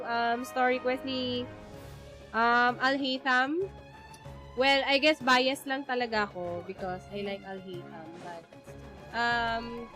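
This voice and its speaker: A young woman with a Filipino accent chatting live while she plays a game, her voice pitched fairly high. She rambles and circles back with plenty of fillers, easy and unscripted, with faint game music under her. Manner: chatty, rambling, code-switching, many fillers. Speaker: game streamer. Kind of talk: live gameplay commentary.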